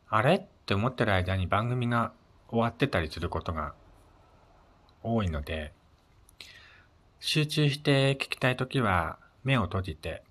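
A man's voice: on the low side, a bit bright. A man hosting his own audio show alone, chatting casually in a polite, conversational way. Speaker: host of a personal audio show. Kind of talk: casual solo radio-style chat.

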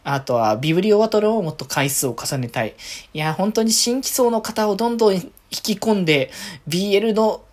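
A woman's voice: medium pitch, lively intonation, brisk, darkish tone. A woman from Aichi in Japan hosting a podcast alone, chatting casually and easygoing as she looks back on her week. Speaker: podcast host. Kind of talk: casual solo chat, recapping the week.

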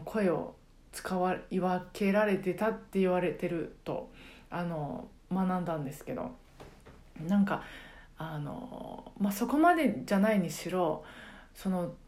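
A middle-aged woman chatting casually on a solo podcast, reflective and halting as she searches for words. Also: lowish voice, mellow. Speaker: podcast host and karaoke teacher.

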